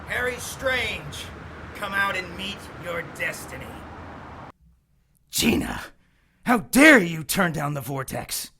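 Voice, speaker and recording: An arrogant witch bent on vengeance in an audio drama. He savours his taunts slowly, swinging widely in pitch with a sinister, theatrical relish. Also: animated, noisy background, full character voice. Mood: furious, grandiose.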